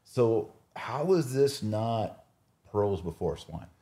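Guy hosting a religion podcast, low voice that swings around a lot, opinionated and punchy, asks rhetorical questions. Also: lively intonation, slow pace, mellow. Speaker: podcast host. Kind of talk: casual religious discussion, explanatory.

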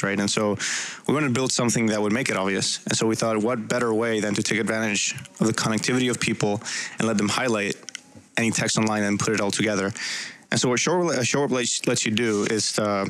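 A man's voice: lowish voice, fairly bright tone, low-quality recording. A young man from Puerto Rico explaining things conversationally as an interview guest. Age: young adult. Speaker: guest, startup co-founder.

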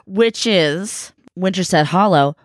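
A woman's voice: lowish voice, takes it slowly, dry recording, clean studio sound. A woman co-hosting a podcast, chatting casually and laughing often, still a little phlegmy from being sick. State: congested, phlegmy from illness. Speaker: podcast co-host. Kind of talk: casual chat.

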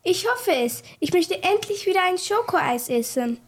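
A woman's voice: very high voice, very animated, unhurried, full character voice. A female performer playing a practical, inquisitive young girl sleuth in a children's radio play. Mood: curious.

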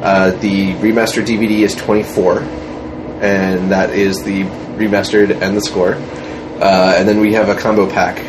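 A man's voice: deep voice, level tone, moderate pace. A man being interviewed as an independent filmmaker, chatting casually in a group. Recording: noisy room with air-conditioning hum.

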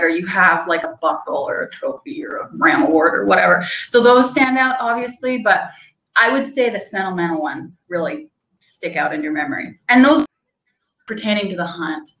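A woman's voice: medium pitch, fairly bright tone, roomy sound, dull audio. A young woman, a hunting guide and outfitter, telling stories in a casual, rambling way with lots of "like" and light laughter. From Canada. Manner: chatty, rambling, with frequent likes and laughs. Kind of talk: casual interview storytelling.

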